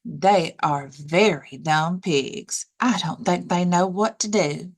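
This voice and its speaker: A woman's voice: fairly low pitch, takes it slowly. A woman voicing a bossy, fussy, easily flustered hen in a children's storybook. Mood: disdainful. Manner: fussy, scolding, self-satisfied.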